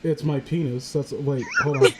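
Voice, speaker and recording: A woman's voice: deep voice, fairly even intonation, a little slow, very noisy. A woman hosting a casual comedic reading, joking crudely and irreverently as she riffs with friends. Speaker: host of a story-reading show.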